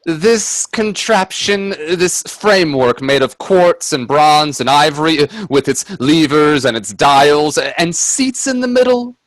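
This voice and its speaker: Nervous polite gentleman, skeptical, stammers a lot, pitch all over, radio play, dry sound. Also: animated, unhurried, few pauses, no room sound, low-quality recording. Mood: incredulous. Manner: stammering, nervous chuckles, deferential. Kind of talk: radio play acting with narration.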